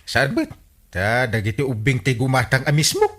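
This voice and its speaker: An inquisitive village captain in a radio comedy. His medium-pitched voice moves up and down a lot, with questioning, drawn-out interjections. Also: wildly swooping pitch, fairly bright tone. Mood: reasoning. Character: inquisitive village captain, mildly comic authority. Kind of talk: radio comedy drama acting.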